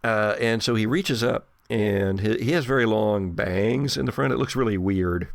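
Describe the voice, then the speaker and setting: A man working as game master, improvising descriptive horror narration for a tabletop role-playing game in a halting storyteller's way. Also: fairly low pitch, gravelly, no echo, clean studio sound. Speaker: game master (the Keeper) of an actual play podcast.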